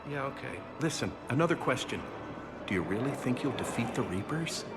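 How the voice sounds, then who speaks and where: An actor playing an awkward, eager human fan in a video game cutscene, talking in a nervous, rambling way. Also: male voice, medium pitch, animated, fairly quick, loud music behind the voice, natural voice. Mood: earnest.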